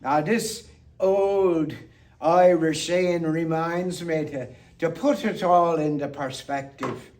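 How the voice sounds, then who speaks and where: Slow, high-pitched man giving theatrical toasts from afar. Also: steady tone, darkish tone. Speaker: monologue performer. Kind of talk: theatrical toast-making monologue. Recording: distant microphone.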